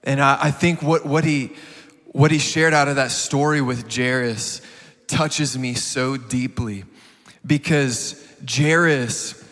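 A man's voice: mid-range voice, slow pace, fairly bright tone. A man in his thirties speaking earnestly from the stage, often repeating words as he builds his point. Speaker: guest speaker. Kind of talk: heartfelt sermon. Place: large church hall. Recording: reverberant hall.

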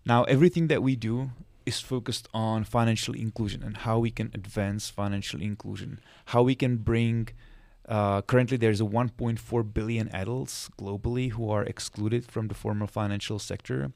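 A man from the Republic, a guest on an interview podcast, explaining his work in a measured way with some restarts. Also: on the low side, steady tone, creaky, darkish tone, dry recording. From Czech Republic. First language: Czech. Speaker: guest, financial sector specialist.

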